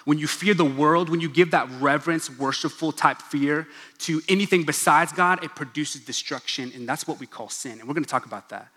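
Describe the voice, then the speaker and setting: Bright-voiced man preaching in a hall. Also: a little high, fairly quick. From Oklahoma, USA. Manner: chatty, joking, asides to the crowd. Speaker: pastor. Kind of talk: casual sermon in a church gathering. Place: large church hall. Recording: long reverb of a large hall.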